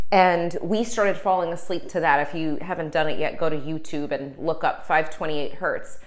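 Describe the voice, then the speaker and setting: A woman co-hosting a podcast, chatting casually and filling her talk with "like" and "um". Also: low-pitched. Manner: chatty, filler-heavy, lots of "like". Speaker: podcast co-host. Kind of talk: casual chat.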